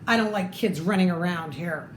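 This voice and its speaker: A woman's voice: on the low side, moderate pace, voice pushed down, close to an everyday voice. Lady doing a grumpy man from a kids book, snappy n possessive, bit of room noise. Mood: curt, grumpy. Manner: curt, exclamatory. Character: grumpy possessive man, new neighbour in storybook. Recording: echoey room with faint noise.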